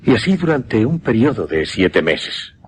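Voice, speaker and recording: An actor playing an intense mesmerist who recounts a macabre experiment in a suspense radio drama, with a Castilian accent and deliberate, emphatic delivery. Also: male voice, mid-range voice, big pitch swings, slight background noise. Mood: solemn, deliberate. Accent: Castilian Spanish.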